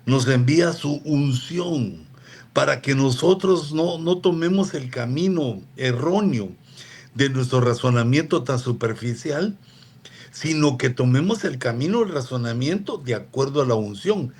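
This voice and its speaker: A man preaching a relaxed, conversational sermon on a radio program, often turning to his listeners with asides. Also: medium pitch, breathy edge. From Latin America. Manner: unhurried, digressive, with frequent asides to listeners.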